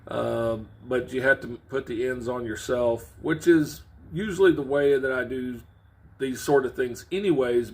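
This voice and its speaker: A man talks informally to his viewers as a channel host, in a mid-pitched voice. He explains things step by step in a halting manner, like a casual video update. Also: a little mellow, husky.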